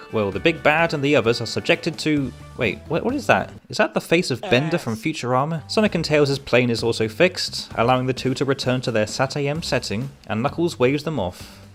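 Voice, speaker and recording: A man delivering a scripted review narration as a reviewer, with sardonic, wry asides. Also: mid-range voice. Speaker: comic book reviewer.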